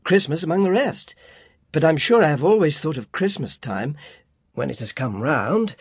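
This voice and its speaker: Cheerful young man, man doing the voice, eager, pleading, lively pitch, dry sound. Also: moderate pace, breathy edge, no room sound. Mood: earnest. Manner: bright, eager, gently pleading. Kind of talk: audiobook reading.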